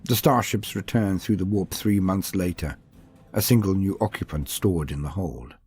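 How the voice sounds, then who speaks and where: A man's voice: sits low, normal speed, a little mellow. A man reading a scripted, dramatic narration of fantasy war lore as a storyteller.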